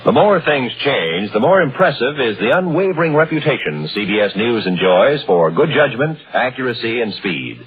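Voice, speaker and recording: A man's voice: medium pitch, big pitch swings, moderate pace, hardly any pauses, breathy edge. A man reading a scripted 1950s radio news promo as a formal, declamatory network announcer. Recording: tinny old radio broadcast, phone-like band.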